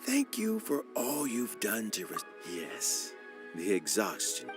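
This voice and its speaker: Slow, dignified elderly statesman. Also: lowish voice, huge pitch swings, full character voice. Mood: weary, grateful. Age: older. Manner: measured, formal, courteous. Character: weary, dignified elderly male statesman, humble and frank. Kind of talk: video game character voice acting. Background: music.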